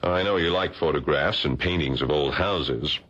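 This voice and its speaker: A man's voice: very deep voice, moderate pace, a bit bright. A man playing a puzzled, insistent art professor in a vintage radio drama. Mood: puzzled, earnest. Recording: dull, lo-fi old radio recording.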